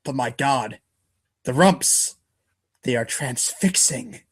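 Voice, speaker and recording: Animated amateur man narrator. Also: mid-range voice, slow pace, breathy edge. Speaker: reader of a webcomic read-aloud show. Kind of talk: informal dramatic narration in second person.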